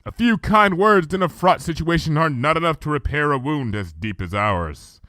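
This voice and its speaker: A man's voice: on the high side, animated, normal speed, pushed and bright, no echo, clean studio sound, pitched above the performer's own voice. A man voicing a regal, archaic-speaking female moon princess pony in a fan fiction reading, with formal thee-and-thou speech. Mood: solemn.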